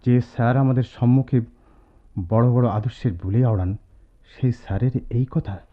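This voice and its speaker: A man's voice: medium pitch, normal speed, natural voice. An actor in a radio drama playing an earnest, loyal young student who tells his friend's story with emphatic, dramatic delivery. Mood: concerned, urging. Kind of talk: Bengali radio drama acting with narration. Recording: dull noisy old radio-play recording.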